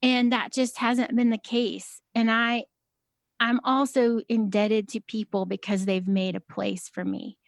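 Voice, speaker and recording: A woman with a high voice talking casually as a podcast guest, soft-spoken and hesitant, with many fillers. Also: level tone, measured pace, vocal fry, no room sound, studio quality. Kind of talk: casual conversation.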